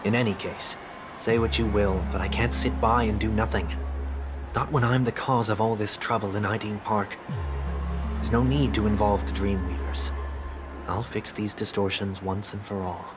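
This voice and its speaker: An actor voicing a composed, formal young man of principle in a video game, speaking in a measured way. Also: lowish voice, steady tone, natural voice. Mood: resolute. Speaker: game character. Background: game background music.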